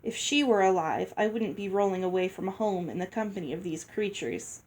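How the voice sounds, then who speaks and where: A woman reading a children's novel aloud as an expressive storybook narrator. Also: medium pitch, moderate pace. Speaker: audiobook reader.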